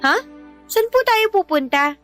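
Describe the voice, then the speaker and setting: A woman's voice: high voice, expressive, unhurried, a bit bright, soft background music. A woman playing a caring, polite nineteen-year-old Filipino daughter in a radio drama. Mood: sweet, pleased.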